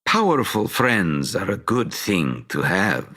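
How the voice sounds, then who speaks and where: An arrogant, scheming wizard for a fantasy game. His voice is bright and forceful, swinging widely in pitch with pompous, imperious theatricality. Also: very animated, takes it slowly, exaggerated voice. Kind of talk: theatrical video game voice acting.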